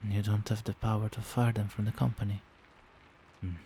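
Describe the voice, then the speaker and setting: A man playing a doting, protective vampire boyfriend in a soft-spoken, soothing and attentive ASMR roleplay. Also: lowish voice, little pitch movement, normal speed, slight background noise, lightly acted. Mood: tender, concerned. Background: rain.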